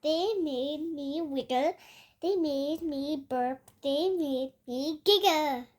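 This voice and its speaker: A young girl reading a children's picture book aloud as a storytime host, halting word by word. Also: high voice, darkish tone.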